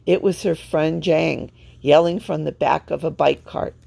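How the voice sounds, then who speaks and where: Woman, children's story narrator, low-pitched, unhurried, expressive phrasing. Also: vocal fry. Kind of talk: read-aloud storybook narration.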